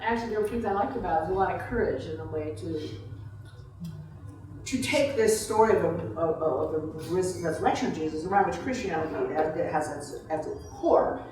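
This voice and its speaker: A woman's voice: on the low side, fairly quick, a little mellow. A woman author answering audience questions in a casual, digressive way, thinking aloud in broken, informal phrases. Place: reception room before a small audience. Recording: echoey room, picked up from far off.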